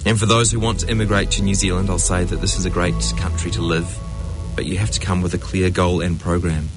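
A man giving measured, scripted advice in a voice-over narration. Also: low-pitched, flat delivery. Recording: voice-over with music behind.

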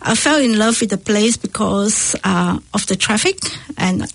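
Husky-voiced middle-aged Malaysian woman. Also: medium pitch, animated, measured pace, no echo, low-quality recording. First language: not English. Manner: hesitant, with frequent fillers and restarts. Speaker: guest. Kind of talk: casual radio interview.

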